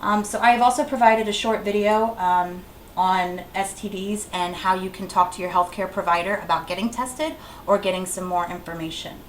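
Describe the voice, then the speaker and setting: A woman's voice: medium pitch, steady tone, moderate pace. A woman giving an informal, plainly instructive health presentation as a clinic educator, repeating key advice. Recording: roomy echo, faint background noise.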